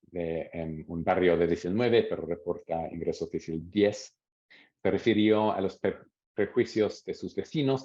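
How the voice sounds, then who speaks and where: A man with a deep, dark-toned voice presents research at a seminar. He speaks in a measured way and reads out interview quotes. The audio is dull and lo-fi. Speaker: academic presenter.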